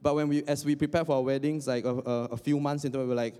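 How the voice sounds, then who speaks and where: A man's voice: mid-range voice, little pitch movement, fast, warm tone, clear, resonant tone. Man preaching in a long reverberant hall. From Indonesia. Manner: joking asides, frequent fillers and restarts. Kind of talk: casual conversational sermon.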